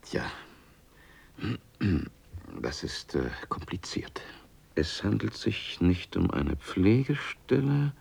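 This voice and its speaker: A dignified male bureaucrat in a radio play. His voice is deep, creaky and husky. He speaks formally and with measured condescension, weighing out his phrases. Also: big pitch swings, a little mellow, whispered, heavily acted. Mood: solemn. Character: dignified, condescending male welfare office official. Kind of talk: radio drama acting.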